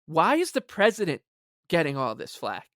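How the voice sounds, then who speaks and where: A man's voice: on the high side, huge pitch swings, moderate pace, a bit bright, no room sound, clean studio sound. A man co-hosting a podcast in casual sports talk, speaking in an exasperated, emphatic, sarcastic way. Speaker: podcast co-host.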